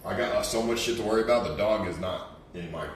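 A man's voice: lowish voice, fast. A man chatting casually on a livestream in a blunt, sweary way. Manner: blunt, profane. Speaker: streamer and event organiser. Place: small kitchen, indoors. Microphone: distant camera or phone mic. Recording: echoey room, distant mic, some noise.

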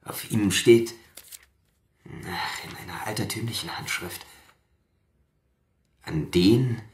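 Slow, low-voiced obsessed young scholar. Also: male voice. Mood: excited, absorbed. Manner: slow, halting, hushed mutter while reading aloud. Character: obsessed young scholar, secretive and reverent, researching his ancestor. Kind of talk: German radio drama acting. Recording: roomy echo, faint noise, clicks.

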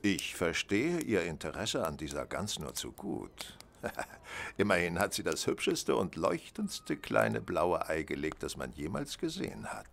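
Gentleman detective in a radio play, creaky voice, swoops around theatrically, composed and sardonic. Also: sits low, sing-song, heavily acted. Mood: smug, sardonic. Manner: measured, theatrical, politely sardonic. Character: shrewd, composed male gentleman detective, quietly triumphant. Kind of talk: German radio play acting.

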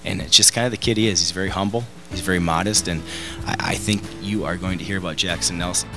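A man's voice: sits low, fairly even intonation, fast talker. A man, a sports coach, talking at a press conference. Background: music bed.

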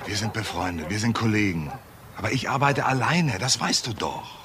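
An actor playing an evasive, sardonic lone-wolf police detective in a radio crime drama, with a casual, needling manner. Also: male voice, fairly low pitch, animated, normal speed, a crowd in the background, natural voice. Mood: defiant. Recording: clear background noise.

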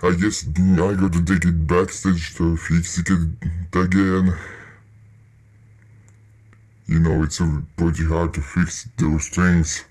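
A man playing a weary, grumbling repair worker who records a halting, sweary audio log. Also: low voice, little pitch movement, a little mellow, husky, heavily acted. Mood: annoyed. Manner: hesitant, halting, casually sweary. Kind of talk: rambling employee audio log.

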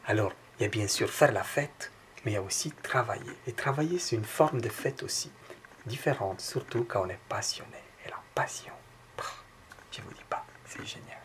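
Chatty French artist in an echoing hall. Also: male voice, on the low side, expressive, moderate pace, a bit bright, whispered. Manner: chatty, addresses viewers as friends. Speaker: artist vlogger. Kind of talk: casual vlog talk to camera. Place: large empty exhibition hall, indoors. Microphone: handheld camera at arm's length. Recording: long hall reverb with music behind.

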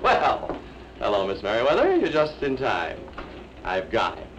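A man's voice: lowish voice, wildly swooping pitch, measured pace, dull audio, noisy background, full character voice. An actor playing a flustered, fussy older man who stammers nervously while talking his way out of trouble, in a 1950s television comedy. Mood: bumbling.

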